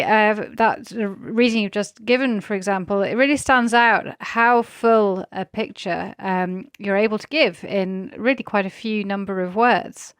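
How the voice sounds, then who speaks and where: Clear-voiced woman hosting a podcast. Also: mid-range voice, no echo. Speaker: podcast host and publisher.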